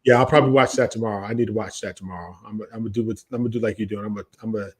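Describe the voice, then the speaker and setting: A man's voice: on the low side, fairly even intonation, rapid-fire. A man hosting a podcast in casual, slangy chat, often repeating phrases for emphasis. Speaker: podcast host.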